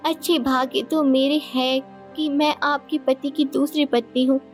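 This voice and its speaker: A woman's voice: sits high, flat delivery, measured pace, faint music behind, pitched above the performer's own voice. A woman voicing a sweet-talking, innocent-seeming young second queen in a cartoon folktale, with a deferential manner. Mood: sweet, coaxing.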